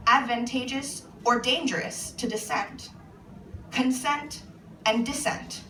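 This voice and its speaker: A woman with an American accent, an author reading her own monologue aloud at a live event in a measured, earnest way. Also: a little high, sharp tone. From United States. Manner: measured, earnest spoken-word delivery. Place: event venue indoors with an audience. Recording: echoey room, voice far from the mic, faint noise.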